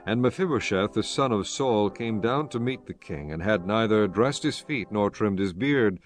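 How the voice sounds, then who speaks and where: Deep-ish guy narrating old bible story, faint music under him. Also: lively intonation, normal speed. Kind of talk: scripted narration of dramatized scripture.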